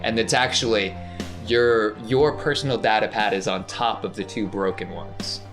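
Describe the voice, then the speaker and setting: A man with a fairly low voice acts as game master, describing scenes and prompting players in casual speech. Faint music plays underneath. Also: fairly even intonation, moderate pace. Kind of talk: narrating a tabletop roleplaying game, casual.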